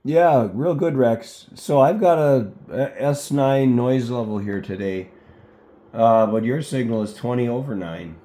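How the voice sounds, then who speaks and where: A man's voice: medium pitch, a little slow, noisy background. A man talking as an amateur radio operator in a casual, informal and rambling chat.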